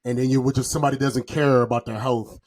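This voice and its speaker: A man's voice: mid-range voice, fairly even intonation, quick pace, a little mellow. A man in casual podcast conversation, talking in slang and joking at his own expense. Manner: slangy, self-deprecating joking. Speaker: podcast host. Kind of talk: casual chat.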